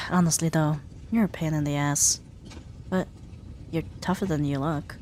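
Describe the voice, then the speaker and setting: A woman playing a sharp-tongued young female rival in an ASMR roleplay, huffy and sarcastic but grudgingly caring. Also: low voice, fairly even intonation, slight background noise. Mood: grudgingly soft, dismissive. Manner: sarcastic, huffy, theatrical sighs and drawn-out jabs. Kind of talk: ASMR roleplay audio drama addressed to the listener.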